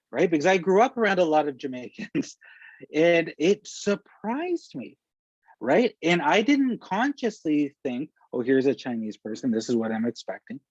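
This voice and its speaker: Husky man presenting a webinar. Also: mid-range voice, darkish tone, no room sound. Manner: conversational, frequent "okay" and "right?" check-ins. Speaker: workshop presenter. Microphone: video call. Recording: dull lo-fi video call audio.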